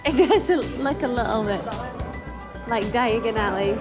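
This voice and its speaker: A woman's voice: on the high side, unhurried, over music. A woman in her thirties chatting casually on a family holiday vlog, recorded on a handheld camera outdoors. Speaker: family vlogger, mother. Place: outdoors at tourist sites.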